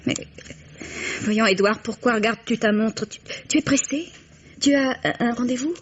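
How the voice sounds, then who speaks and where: A woman's voice: a little high, moderate pace, a little hiss or hum, natural voice. An actress playing a hesitant, emotional mistress in her thirties, clinging and pleading, in a French vintage radio melodrama. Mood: hesitant, coaxing. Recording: dull vintage radio recording.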